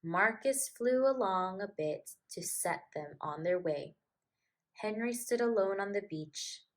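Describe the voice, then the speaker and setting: Woman teacher doing story time for little kids, takes her time, encouraging, simple wording, average pitch. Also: animated, slow pace. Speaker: teacher reading to children. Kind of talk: storybook read-aloud and gentle address to children.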